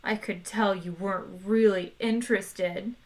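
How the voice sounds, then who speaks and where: A woman voicing a depressed male robot in a storybook reading, speaking flatly with weary sarcasm. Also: medium pitch, measured pace, close to an everyday voice. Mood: gloomy deadpan. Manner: flat, weary, sardonic. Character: gloomy depressed male robot, low dull voice.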